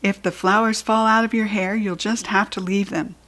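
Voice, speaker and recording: A woman voicing a brisk, practical mother in a children's storybook, with an urging manner. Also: medium pitch, steady tone, fairly bright tone, natural voice.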